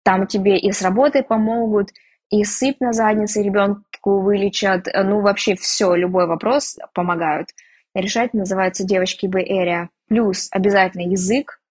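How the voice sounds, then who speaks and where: Russian woman talking openly in an interview, slightly breathy voice, pokes fun at herself. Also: medium pitch, fairly even intonation, normal speed, darkish tone. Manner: frank, chatty, self-mocking. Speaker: interviewee, emigrant. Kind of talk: candid casual chat.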